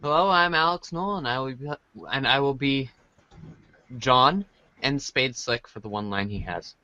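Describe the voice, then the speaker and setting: A man's voice: medium pitch, moderate pace, sharp tone, dry recording, dull audio. A man reading narration aloud as a cast member on a podcast.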